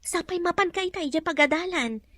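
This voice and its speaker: A woman's voice: extremely high pitch, fairly quick, bright, forceful tone, dry recording, studio quality. A woman with an Ilocano accent playing a concerned mother cautioning her young daughter in a radio drama. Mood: concerned, firm.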